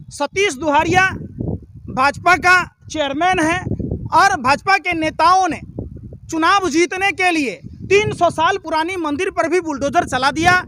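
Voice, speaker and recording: A man's voice: extremely high pitch, noisy background. A man speaking in a heated political argument, combative and indignant, with emphatic repetition and rhetorical questions.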